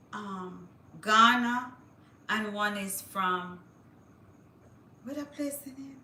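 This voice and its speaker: A woman's voice: medium pitch, sharp tone, reverberant, slight background noise. A woman hosting a casual livestream, chatting to viewers and reading news aloud with small stumbles. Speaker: livestream host.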